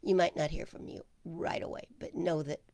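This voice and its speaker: A woman minister hosting a casual online service, chatting with viewers and greeting them by name, her voice hoarse and gravelly. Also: lowish voice, moderate pace, warm tone, no room sound. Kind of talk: casual livestream chat with viewers.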